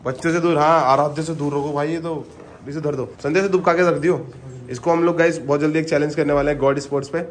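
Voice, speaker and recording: Fast-talking, higher-pitched young man. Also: steady tone, a little hiss or hum. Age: 20s. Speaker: vlogger. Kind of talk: casual vlog chat. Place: home rooms and a gym, indoors. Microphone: phone at arm's length.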